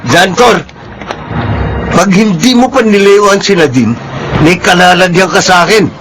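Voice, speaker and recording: Arrogant, high-voiced young bully. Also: male voice, a little slow, a crowd in the background, background noise. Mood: bullying anger. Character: arrogant jealous young male supervisor, bullying and hot-tempered. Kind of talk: Filipino radio drama acting.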